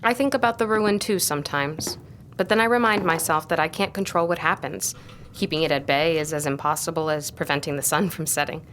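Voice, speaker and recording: Young female tutor, audio drama: lowish voice, formal, precise, guarded, earnest. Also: fairly quick, a bit bright, slight background noise. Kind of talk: audio drama acting with formal first-person narration.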